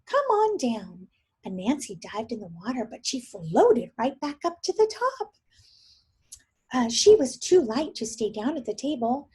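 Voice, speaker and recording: Husky-voiced woman reading aloud to children. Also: on the high side, normal speed. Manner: expressive, thinks aloud to young listeners. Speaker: teacher. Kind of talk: read-aloud story for young children with questions.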